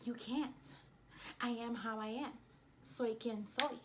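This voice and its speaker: A woman with a New York accent talking casually to camera as a vlogger, in an emphatic, indignant and expressive way. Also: a little high, takes it slowly, background noise. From United States.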